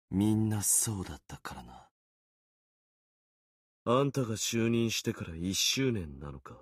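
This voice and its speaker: A man voicing a gloomy, self-deprecating young warrior sword spirit in a video game, brooding and resigned, with a husky voice. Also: dry recording, studio quality, full character voice. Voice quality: low, husky. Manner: brooding, resigned, muttering with trailing pauses. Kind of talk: Japanese video game character acting.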